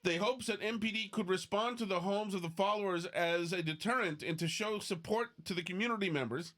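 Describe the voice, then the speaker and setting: Excitable, profane male podcast host. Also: sits high, fairly quick. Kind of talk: casual opinionated banter.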